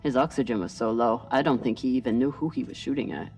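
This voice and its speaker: A terse, grim captain. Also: female voice, very deep voice, fast, darkish tone, far from the mic, faint music behind. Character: tough female ship captain.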